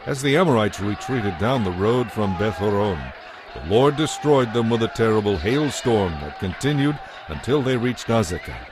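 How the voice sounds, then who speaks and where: A man narrating scripture from a script in a measured, solemn, dramatic way. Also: on the low side, lively intonation, noisy background. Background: music.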